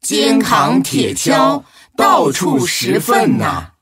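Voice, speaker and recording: A woman's voice: a little high, wildly swooping pitch, drawn-out words, loud music behind the voice. A woman reading scripted storytelling narration as a narrator.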